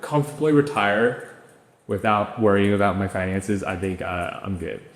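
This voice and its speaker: A young man in his twenties co-hosting a podcast, easygoing and quick to laugh, with a habit of repeating "yeah.". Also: fairly low pitch, fairly even intonation. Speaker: podcast co-host. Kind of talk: casual chat. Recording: echoey room with long reverb.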